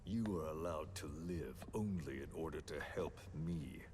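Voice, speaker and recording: An actor playing a menacing, philosophical villain in a video game, speaking deliberately and with theatrical condescension. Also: male voice, rumbling low, a little mellow, slight background noise. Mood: coldly threatening. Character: menacing, philosophical male villain coercing a captive. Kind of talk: dramatic video game voice acting.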